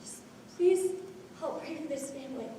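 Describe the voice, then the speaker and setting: A high, pleading female voice an actress playing a mourner echoes through a noisy hall. She draws out her phrases as a grieving friend in a live stage drama. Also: moderate pace, dark tone, distant mic, close to an everyday voice.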